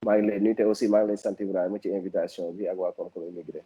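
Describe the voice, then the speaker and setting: A man guest greeting viewers in a polite, measured way. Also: sits low, steady tone, mellow, slightly rough, poor audio.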